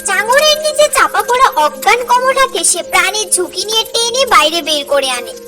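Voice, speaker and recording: A very high-pitched voice narrates a cartoon story. The delivery is dramatic and storybook-like, with lively rises and falls. Music plays underneath. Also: brisk. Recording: music behind the voice.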